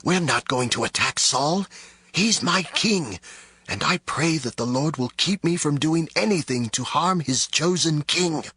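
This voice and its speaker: A principled young leader sternly addresses his band in a dramatized audio Bible. The voice is strikingly raspy and slightly high, captured dry. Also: lively intonation, measured pace, pushed and bright, no echo, full character voice.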